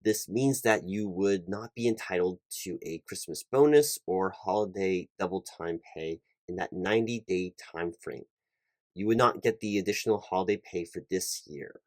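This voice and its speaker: Corporate recruiter, played by a man, lowish voice, over-careful, repetitive and a bit flustered, very dry recording, ASMR interview roleplay. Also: normal speed, a little mellow, dry recording, natural voice. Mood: careful, formal. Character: polite, fussy, slightly flustered male corporate hiring manager. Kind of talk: one-sided ASMR job interview roleplay.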